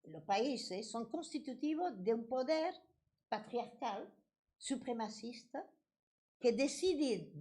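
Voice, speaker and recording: French-accented woman, passionate and halting. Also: fairly high pitch, a little mellow. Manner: passionate, with frequent restarts and hesitations. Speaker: debate speaker. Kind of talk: political talk at a public debate.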